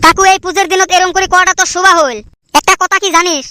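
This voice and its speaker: High-voiced woman, cheeky banter, bright and quick. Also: steady tone. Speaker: cartoon channel creator and voice of several characters. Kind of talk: cartoon comedy voice acting.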